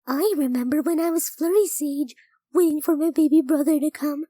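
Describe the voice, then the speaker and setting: Gentle cartoon mare, female actor: extremely high, youthful, earnest comforter, dry sound. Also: normal speed, no room sound, natural voice. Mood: gentle reassurance. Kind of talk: animated audio drama acting.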